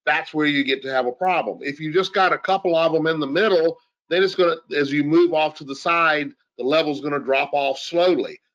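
Man, fairly high voice, conversational expert explainer, asks rhetorical questions, somewhat muffled lo-fi sound. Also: a bit bright. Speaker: audio engineer guest expert. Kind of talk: informal technical explanation.

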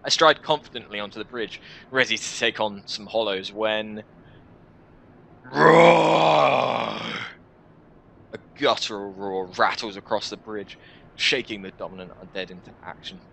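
A man in his twenties reading a first-person story aloud in an expressive, deliberate, dramatic style. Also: on the low side, gravelly. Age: 20s. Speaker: video creator reading a visual novel. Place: small room at home, indoors.

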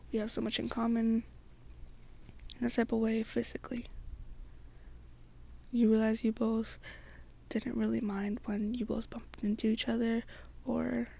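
A young woman softly narrating a second-person story in an amateur ASMR style. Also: on the high side, creaky.

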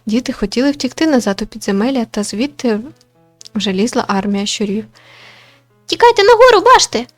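A woman reading a visual novel aloud as host, with expressive storytelling and casual commentary. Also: medium pitch, a little fast. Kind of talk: narrated read-through with casual commentary. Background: game music.

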